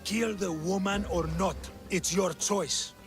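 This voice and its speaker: Arrogant cultist overseer, high voice, haughty, dismissive, cruel, game cutscene, light music behind. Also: male voice, steady tone, slow. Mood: smug, menacing. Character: arrogant, cruel male slave master and cultist. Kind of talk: video game cutscene acting.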